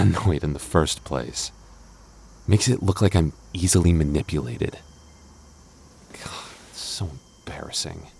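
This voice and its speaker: Man acting deep-voiced, flustered jealous boyfriend. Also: creaky, darkish tone, exaggerated voice. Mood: embarrassed, smitten. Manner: stammering, mock-indignant, sighing and chuckling. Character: clingy, jealous boyfriend, flustered, affectionate, playfully grumbling. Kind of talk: intimate boyfriend audio roleplay, ASMR.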